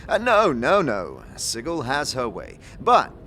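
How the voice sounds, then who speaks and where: A man's voice: mid-range voice, huge pitch swings, slow, bright, forceful tone, slight background noise, voice pushed up, heavily acted. A man voicing a genial, flamboyant, silver-tongued innkeeper in an audio drama, effusive and florid.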